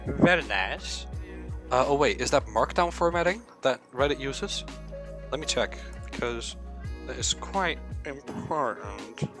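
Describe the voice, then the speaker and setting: A man's voice: medium pitch, lively intonation, unhurried, music in the background. A young man from the Netherlands with a Dutch accent, streaming a live coding session, rambling to himself and chatting with viewers. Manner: rambling, talks to himself, hums filler syllables, swears casually.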